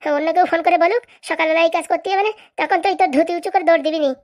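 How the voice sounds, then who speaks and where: Woman acting a bossy wife, comic cartoon, very fast, high-pitched, accusatory, speech. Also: fairly even intonation, darkish tone. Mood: scolding, contemptuous. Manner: scolding, accusatory, rapid-fire haranguing. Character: bossy, nagging, domineering wife of a village politician.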